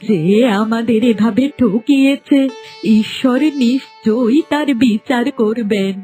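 Woman acting a slow-spoken, earnest poor wife. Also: on the high side, dark tone, soft background music. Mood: consoling. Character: poor beggar's consoling, pious wife. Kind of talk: cartoon moral tale acting.

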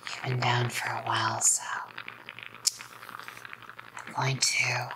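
A young woman doing a softly menacing, possessive girlfriend ASMR roleplay in a controlling, mostly whispered voice. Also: a bass voice, big pitch swings, creaky, heavy background noise. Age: young adult. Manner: soft, slow, controlling girlfriend act. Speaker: ASMR performer. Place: small dim room indoors.

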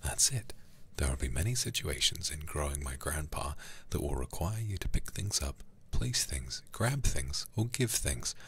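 A man livestreaming a game in an intimate ASMR style, hushed and often whispering close to the microphone. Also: very deep voice, very animated, moderate pace, creaky, few pauses, slightly rough. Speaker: livestream host playing a video game.

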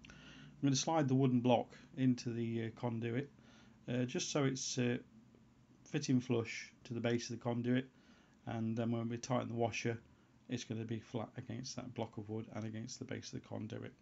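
Monotone man with a gravelly voice, explaining. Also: mid-range voice. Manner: hesitant, halting, thinking aloud. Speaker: hobbyist presenter of a homebrew antenna tutorial. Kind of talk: unscripted how-to voice-over. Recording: dull, lo-fi sound.

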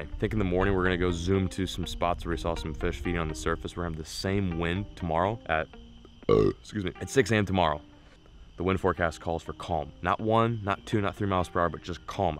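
A young man in his twenties vlogging excitedly from a small bass boat on a lake, talking to an action camera. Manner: upbeat, excitable, hypes the action. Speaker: fishing vlogger. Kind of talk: casual vlog narration.

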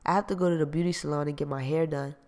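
A woman's voice: low voice, steady tone, rapid-fire, darkish tone, natural voice. A man reading an audiobook, voicing a sassy young woman who brushes off her ex. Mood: breezy, dismissive. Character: young woman, cool and dismissive, then defiant.

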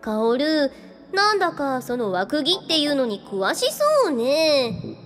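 A woman plays a glamorous lady ghost with an extremely high, swooping voice. She is coy and flirtatious, teasing and flattering in radio-drama fashion, over faint music. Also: takes it slowly, exaggerated voice. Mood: curious.

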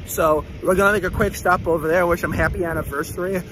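A young man chatting casually and excitably, swearing freely, into a phone at arm's length while vlogging outside. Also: sits high, fast. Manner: excitable, profanity-laden. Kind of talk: casual self-filmed vlog chat. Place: outdoors on a suburban street. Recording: outdoor street noise, passing vehicles.

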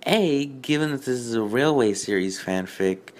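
A man with a medium-pitched voice presents a review show. He moves between reading aloud and sarcastic commentary. His delivery is deadpan, with mock indignation. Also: moderate pace. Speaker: host of a fan fiction review show.

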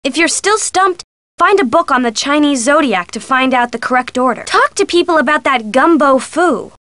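A perky young female sidekick from a mystery video game. Her voice is very high and swings up and down with playful eagerness. It is a dry, close studio sound, chummy and helpful. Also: animated, normal speed, fairly bright tone, no echo. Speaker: game character giving hints.

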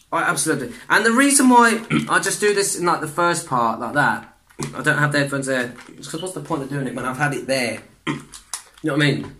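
A young man, chatting in a rambling way for a reaction vlog in his bedroom, restarting sentences, clearing his throat and swearing often. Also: mid-range voice, bright, forceful tone, a little hiss or hum. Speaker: vlogger reacting to videos. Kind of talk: casual reaction vlog chat. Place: small bedroom indoors.

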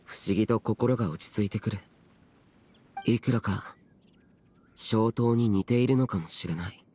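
A man's voice: lowish voice, a little slow, warm tone, slight background noise, lightly acted. Man playing a solemn, aloof young immortal warrior. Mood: solemn, reserved. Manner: reserved, formal, archaic. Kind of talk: Japanese video game voice acting.

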